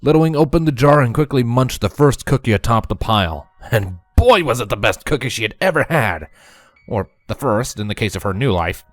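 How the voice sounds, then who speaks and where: A man narrating a story from a script in an expressive reading style. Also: mid-range voice, a little fast, no echo. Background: music.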